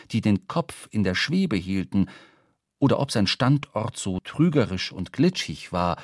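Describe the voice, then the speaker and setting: Male radio drama narrator, mid pitch, dramatic literary storytelling, very dry studio sound. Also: a little mellow, no echo.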